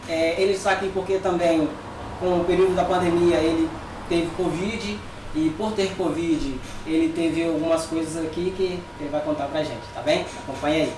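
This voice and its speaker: Chatty Brazilian man hosting, echoey. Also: fairly high pitch. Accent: Brazilian Portuguese. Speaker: host, barber. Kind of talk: casual podcast hosting. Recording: echoey room, distant mic, noisy.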